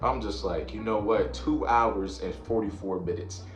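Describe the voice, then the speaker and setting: A man in casual chat with a friend, animated, acting out what people said and laughing often. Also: lowish voice, moderate pace. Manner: animated, acts out quotes, laughs a lot. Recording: roomy sound with faint music.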